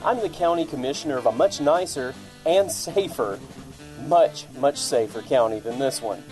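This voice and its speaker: Man acting as crude boastful commissioner, blunt, mellow voice, comic radio ad, faint guitar music. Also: medium pitch, expressive, moderate pace, close to an everyday voice, poor audio. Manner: blunt, insulting.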